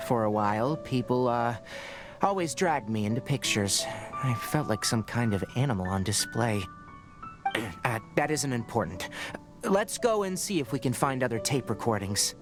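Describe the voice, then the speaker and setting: A composed young scholar, as a man plays him, calm and measured with a reserved edge. His voice sits in the middle of the range, and game music plays clearly behind him. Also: mid-range voice, close to an everyday voice. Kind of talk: video game voice acting.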